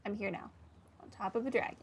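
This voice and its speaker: A woman's voice: mid-range voice, fairly even intonation, quick pace, a little hiss or hum. A woman giving casual playthrough commentary on a video game, musing aloud.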